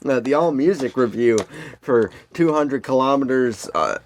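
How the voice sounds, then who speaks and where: A man's voice: medium pitch, normal speed, slight background noise. An American man co-hosting a podcast, chatting casually in a joking, rambling way and often prone to laughing. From United States. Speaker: podcast co-host. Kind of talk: casual comedic chat.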